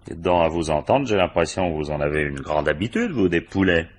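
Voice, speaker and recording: Low-voiced, world-weary police commissioner. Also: male voice, expressive, fairly quick, darkish tone. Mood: dry, probing. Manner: dry, probing, ironic. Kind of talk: French radio crime drama acting.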